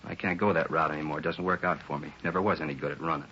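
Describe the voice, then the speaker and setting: A man's voice: rumbling low, steady tone, racing, pushed and bright. A man acting a weary, defensive robbery suspect in a 1950s radio drama, with blunt, slangy street talk. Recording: dull, hissy old radio recording.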